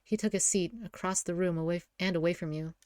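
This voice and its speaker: A woman's voice: fairly low pitch, fairly even intonation, quick pace, a little mellow, no echo, studio quality. A woman informally reading a visual novel aloud as narrator, giggly and often stumbling over lines and rereading them.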